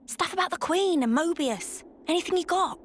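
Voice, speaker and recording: A woman's voice: very high voice, animated, moderate pace, a little hiss or hum. An actress playing a cheeky, brash young woman in a video game, blunt and teasing. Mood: nosy, conspiratorial. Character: cheeky, brash, nosy young female party member. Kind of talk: video game cutscene acting.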